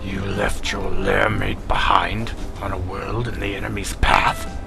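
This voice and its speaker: Casting: scornful feline alien warrior, deep rough growl, slow taunting delivery, sci-fi audio drama. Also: male voice, level tone, over music, exaggerated voice. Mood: fierce taunting. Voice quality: growling, gravelly. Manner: haughty, taunting, slow and forceful. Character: gruff, scornful male catlike alien warrior.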